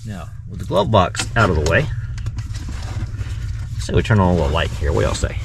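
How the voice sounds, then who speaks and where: A man's voice: low voice, big pitch swings, moderate pace. A man giving a casual, folksy how-to while working inside a vehicle, thinking aloud as he goes. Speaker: vlogger demonstrating a car radio install. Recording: clear background noise in a vehicle.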